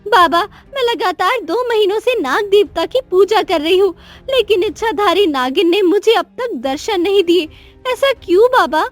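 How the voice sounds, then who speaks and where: A woman's voice: very high-pitched, expressive, moderate pace, dry recording, faint music behind, voice pushed up. A woman voicing an earnest young queen and devoted mother in a cartoon moral story, speaking with feeling. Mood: troubled, pleading.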